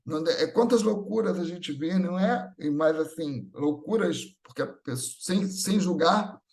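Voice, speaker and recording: Male religious speaker, fairly high-pitched, teaching an audience through questions and appeals for agreement. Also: normal speed, vocal fry, a little mellow. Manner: rhetorical questions, seeking agreement with tag questions. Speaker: religious lecturer. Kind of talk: Spiritist talk, didactic public lecture.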